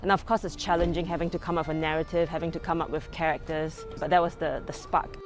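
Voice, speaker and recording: A woman from Singapore chats casually as an interviewed filmmaker, in a fairly low voice. Her phrasing is informal and loose, with music clearly audible behind her. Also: steady tone, fast. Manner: informal, peppered with "like" and "you know".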